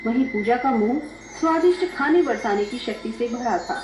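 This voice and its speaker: A woman's voice: a little high, expressive, normal speed, darkish tone. A woman narrating a scripted dramatic story. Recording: echoey, dull, music behind.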